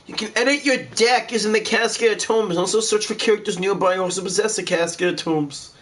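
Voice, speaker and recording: A man streams a video game walkthrough. His voice is high for a man, and he reads instructions and game text aloud as he goes. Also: fast talker, fairly bright tone.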